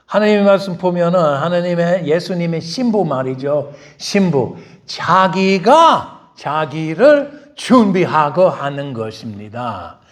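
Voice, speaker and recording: Loud, high-pitched preaching pastor. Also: male voice. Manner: switches between Korean and English, emphatic preaching with rhetorical questions. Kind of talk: bilingual sermon. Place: church sanctuary.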